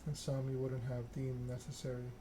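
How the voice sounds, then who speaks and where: A man softly narrating a story game in ASMR style, reading in a measured, deliberate way. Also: medium pitch, completely flat delivery. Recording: clearly noisy background ambience.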